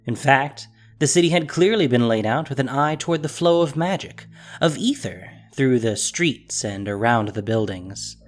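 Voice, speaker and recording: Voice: mid-range voice, expressive, normal speed. A narrator reading a scripted fantasy story in a dramatic storytelling style.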